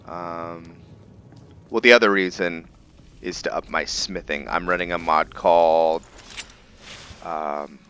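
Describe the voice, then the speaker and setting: A man's voice: deep voice, steady tone, slow pace, pauses often, fairly bright tone. A man giving casual let's play commentary as a gaming video host, laid-back and thinking aloud. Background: game music.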